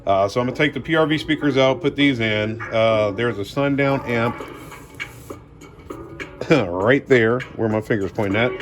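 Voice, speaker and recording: A man hosting a car audio vlog, talking casually and colloquially, addressing viewers as y'all and man. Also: mid-range voice. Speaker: car audio channel host. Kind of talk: casual product unboxing talk.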